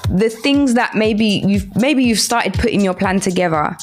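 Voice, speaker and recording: A woman's voice: mid-range voice, a little slow. Woman radio host over background music. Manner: encouraging, explanatory, addresses listeners directly. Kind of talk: live talk radio presenting, reading listener messages. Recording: music playing behind the voice.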